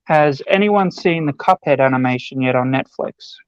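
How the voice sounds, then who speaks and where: A man's voice: medium pitch, normal speed, clean tone, dull audio. A man chatting casually as a panelist on a podcast. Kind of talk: casual chat.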